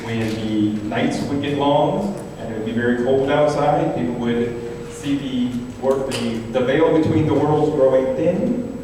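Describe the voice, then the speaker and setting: A man with a mid-pitched voice gives a host's live welcome speech to an audience. He sounds far from the mic in a hugely echoing hall, with clear background noise. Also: measured pace. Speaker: show producer and host.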